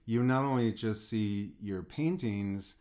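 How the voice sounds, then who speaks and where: A man's voice: fairly low pitch, slow pace, a little mellow. A middle-aged man, an art coach hosting a podcast interview, who often repeats words as he starts a thought. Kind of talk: interview conversation.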